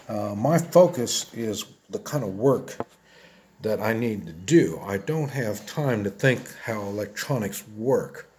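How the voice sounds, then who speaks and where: Slow, halting older man, fairly low voice. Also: a little mellow, slight background noise. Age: 70s. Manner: halting, plain-spoken, repeats himself. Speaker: video host. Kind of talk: casual rambling gear demonstration.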